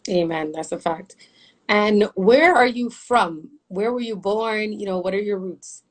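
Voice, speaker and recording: A woman hosting an interview, asking questions in a chatty, animated way. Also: mid-range voice, unhurried. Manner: chatty and animated, laughs often, asks follow-up questions. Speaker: interview host.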